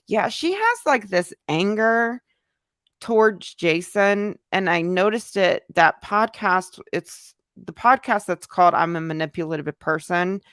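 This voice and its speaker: A woman's voice: on the low side, gravelly, fairly bright tone, no room sound, clean studio sound. An American woman hosting a casual livestream, talking conversationally in loose fragments as she responds to viewers. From United States. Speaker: livestream host, YouTuber. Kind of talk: casual livestream chat, answering viewer comments.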